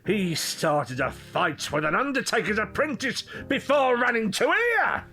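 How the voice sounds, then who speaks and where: A man's voice: high voice, very animated, measured pace, sharp tone, slightly rough, faint music behind, heavily acted. A man playing a self-important, officious workhouse master in a period audio drama, pompous and disdainful.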